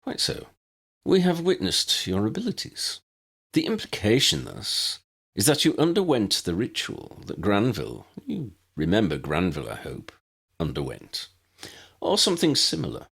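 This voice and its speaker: A man voicing an erudite, unflappable old wizard in a fantasy audiobook, measured and pedantic with a needling edge. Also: fairly low pitch, huge pitch swings, creaky, a bit bright, husky, voice pushed up, full character voice. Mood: calm, needling. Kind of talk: fan-fiction audiobook character voice.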